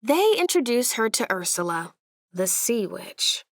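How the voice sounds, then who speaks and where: A woman narrating a children's storybook aloud in an expressive way, with deliberate, dramatic emphasis. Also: on the high side, no echo, studio quality.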